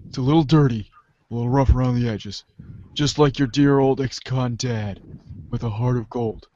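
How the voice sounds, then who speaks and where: A man's voice: medium pitch, fairly even intonation, normal speed, warm tone, lo-fi sound, voice pushed down, natural voice. A man voicing a sappy ex-convict dad with a heart of gold in a hammy movie parody. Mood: tender, gruff.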